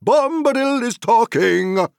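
A man's voice: very high voice, expressive, slow, gravelly, clean tone, no room sound, clean studio sound, voice pushed up, heavily acted. A man voicing a boisterous, jolly old forest dweller who sings and bellows in a rustic sing-song dialect. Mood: booming jolly. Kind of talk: audiobook character voice.

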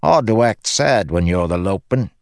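Cocky young soldier, raised pitch, swooping delivery, flippant, boastful, playful, audiobook character. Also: male voice, animated, normal speed, vocal fry, no room sound, studio quality. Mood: breezy, boastful. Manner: exuberant, flippant, theatrical.

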